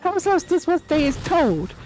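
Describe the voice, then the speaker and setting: A woman voicing a timid female house elf servant with a high, quivering squeak and broken, deferential grammar. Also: big pitch swings, moderate pace, darkish tone, rough recording. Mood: timid servile.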